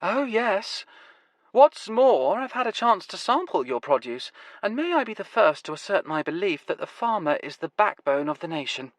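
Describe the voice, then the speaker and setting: A sardonic woman with a teasing, mock-grand turn of phrase, as a male narrator puts her on. Her voice sits high, with a lively rise and fall. The reading sounds dry and close-miked. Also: moderate pace, no echo. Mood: wry.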